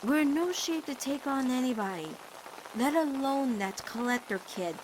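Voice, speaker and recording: Woman as an earnest, high-voiced protective teenage girl. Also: lively intonation, moderate pace, noisy background. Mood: gentle worry. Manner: earnest, pleading, emphatic delivery. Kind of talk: animated-series fan dub drama acting.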